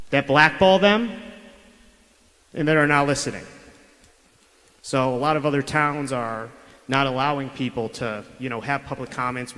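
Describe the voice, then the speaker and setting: A man's voice: on the high side, fairly bright tone. A man giving a pointed, combative public comment at a school board meeting, speaking into a podium microphone in a city meeting chamber. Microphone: podium microphone over public address. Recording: public address audio in a reverberant hall.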